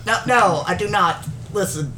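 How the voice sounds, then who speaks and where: A woman running a tabletop game as game master, brisk and dry as she tallies scores. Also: medium pitch, bright, forceful tone, slight background noise.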